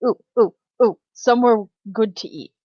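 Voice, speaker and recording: A woman's voice: on the high side, moderate pace, a little mellow, dry recording. A woman role-playing at a tabletop game in casual, playful table talk, on a dull recording.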